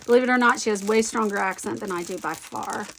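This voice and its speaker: A woman from the American South with a softened Southern accent, rambling and amusing herself as she narrates her scrapbooking. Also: mid-range voice, quick pace, creaky, slight background noise. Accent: light Southern American. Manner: rambling, self-amused, talks to herself. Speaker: scrapbooking vlogger. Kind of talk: chatty crafting commentary while working.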